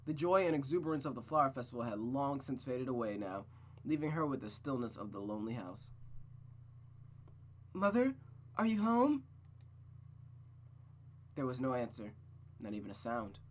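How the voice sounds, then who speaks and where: Story reader with excited asides. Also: mid-range voice, quick pace, a little hiss or hum. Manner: reads the narration, then breaks off into blunt, excited asides. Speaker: channel host reading a visual novel aloud. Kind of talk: narration with casual reactions.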